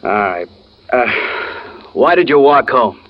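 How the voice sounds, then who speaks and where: An actor playing a skeptical, needling police detective with a dry, sarcastic manner, in 1940s radio style. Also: male voice, mid-range voice, very animated, slow pace, a little hiss or hum. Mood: dry, doubting. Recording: muffled vintage radio recording.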